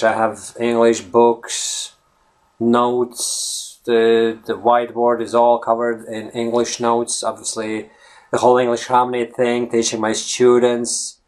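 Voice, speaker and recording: A man talks casually to camera about learning English, speaking as a coach to his viewers. He drifts off topic, circles back, and keeps checking in with a quick "right?" or "okay?". He sounds like he is recording in his home office. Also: medium pitch. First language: Latvian. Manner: rambling, veers off topic, tags lines with "right?".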